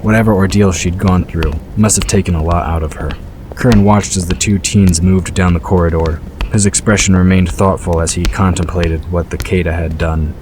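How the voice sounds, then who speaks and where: A man's voice: sits low, steady tone, normal speed. A man reading scripted fantasy fiction as the narrator of a story. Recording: clear background ambience noise.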